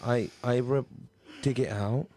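A man's voice: mid-range voice, fairly even intonation, a little slow, dark tone, dry recording. A man playing an earnest, sheltered nine-year-old son in improvised comedy, reasoning hesitantly and stumbling over his words. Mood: hesitant, pleading. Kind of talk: improvised comedy role-play.

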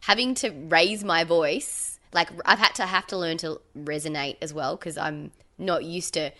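A woman co-hosting a podcast, telling a story in casual chat with lively acted-out quotes. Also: low-pitched, a little slow. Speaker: podcast co-host.

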